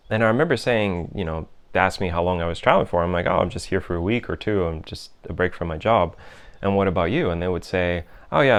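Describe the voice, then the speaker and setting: A young man telling his life story in a thoughtful, unhurried way, with many fillers and restarts. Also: sits low, smooth. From Europe, raised in several countries. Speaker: host. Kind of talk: reflective personal monologue.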